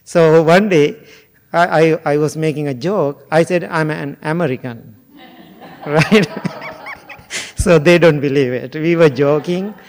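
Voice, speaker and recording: Slow-speaking monk from Sri Lanka. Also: male voice, fairly high pitch, a little hiss or hum. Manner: slow, musing, jokes and asks the audience questions. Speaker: Buddhist monk teacher. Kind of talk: informal dharma talk to a group.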